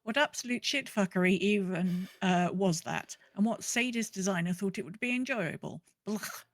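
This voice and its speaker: A woman's voice: medium pitch, moderate pace, no room sound. A woman co-hosting a casual gaming podcast in an informal, chatty, slangy way. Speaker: podcast co-host.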